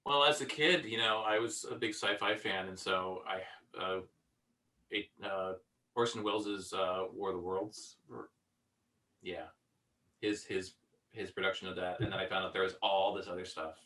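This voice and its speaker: A man chatting casually as an interviewed voice actor and director, halting and stammering as he starts his sentences. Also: deep voice, steady tone, bright, forceful tone. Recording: distant from the microphone.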